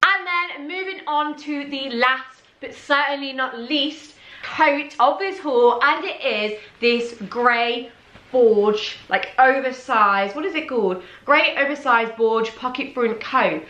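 A woman's voice: sits high, takes it slowly, sharp tone, roomy sound, dull audio, faint music behind. A young woman in her twenties chatting to viewers about clothes she bought, her voice a little worn from talking. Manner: chatty, addresses viewers as guys. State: slightly losing her voice. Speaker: fashion vlogger. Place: bedroom, seated on a bed.

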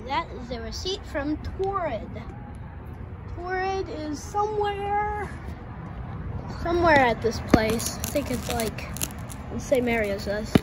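Noisy street recording, handheld phone, high-voiced female vlogger, casual rambling commentary, thinking aloud. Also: sing-song, takes it slowly, long pauses. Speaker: host of an abandoned retail vlog. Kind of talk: casual walk-around vlog commentary. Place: outdoors on a shopping street sidewalk.

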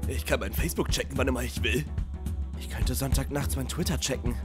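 A man's voice: medium pitch, fairly quick, over music, pitched below the performer's own voice, natural voice. A man voicing a brash young male prankster in a comedy sketch dub, crass and declamatory. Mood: conversational. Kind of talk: German comedy sketch fandub.

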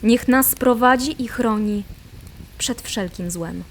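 An actress playing a devout, hopeful female draenei priestess in a fantasy audio drama, speaking calmly, softly and solemnly. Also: fairly high pitch, takes it slowly, a little hiss or hum. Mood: solemn blessing. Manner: calm, soft, solemn.

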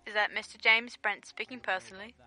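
An actress playing a formal, impersonal telephone operator in a radio play, brisk and businesslike. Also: female voice, mid-range voice, steady tone, bright, forceful tone.

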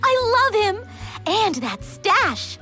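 A woman's voice: extremely high pitch, sing-song, slow pace, bright, forceful tone, exaggerated voice. A woman voicing a bubbly, fashion-loving teenage girl in a game cutscene, gushing and breezy. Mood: bubbly, upbeat. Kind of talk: animated game cutscene acting. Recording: music under the voice.

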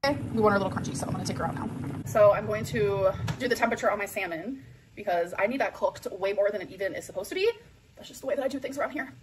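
Fast-talking chatty woman in her kitchen. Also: medium pitch, background noise. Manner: chatty, talking straight to viewers. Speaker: vlogger in the reacted video. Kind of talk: casual cooking and eating vlog. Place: home kitchen, indoors.

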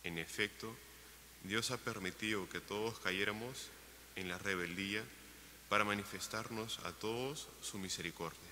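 A man reading a scripture letter at Mass, steady and deliberate. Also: lowish voice. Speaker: lector. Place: large church. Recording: reverberant church broadcast with faint hiss.

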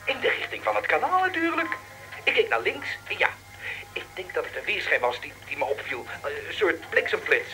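An excitable, fast-talking rookie reporter. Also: male voice, high-pitched, faint music behind, exaggerated voice, low-quality recording. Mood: excited, rambling. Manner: rambling, stammering, urgent. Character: excitable male rookie radio reporter, stubborn and breathless. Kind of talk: radio drama acting.

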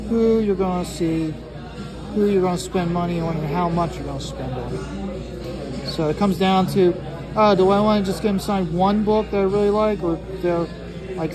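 A man talking casually and ramblingly as he draws, halting often and repeating his phrases. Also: high voice, rough recording. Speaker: artist hosting a drawing livestream or video. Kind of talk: casual rambling talk while drawing.

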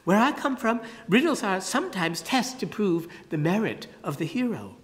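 A narrator putting on a bright, earnest storybook girl, clever and proud, pitched well above plain narration. Her words rise and fall widely, under a long hall reverb. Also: sing-song, moderate pace, lightly acted. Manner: earnest, musing.

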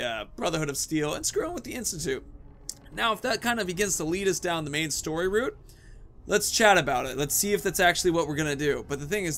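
A man's voice: on the high side, a bit bright. A young man hosting casual gameplay commentary in a chatty, jokey, rambling way. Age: young adult. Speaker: gaming video host. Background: game ambience, faint noise.

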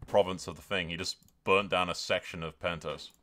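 A man's voice: low voice, steady tone, a little fast, sharp tone. A man hosting a gaming video, mixing dramatic role-play storytelling with sweary, casual strategy game commentary, in a theatrical manner.

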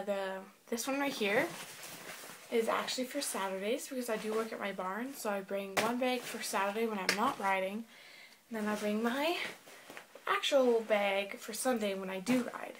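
A woman's voice: a little high, a little hiss or hum. Chatty teenage girl vlogging. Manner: chatty, rambling with fillers. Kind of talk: casual show-and-tell vlog. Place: small bedroom indoors. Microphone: built-in webcam mic.

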